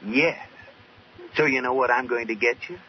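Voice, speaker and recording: An American actor playing an affable, teasing husband in an old-time radio sitcom. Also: male voice, medium pitch, moderate pace, close to an everyday voice. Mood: dry teasing. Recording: noisy, dull old radio recording.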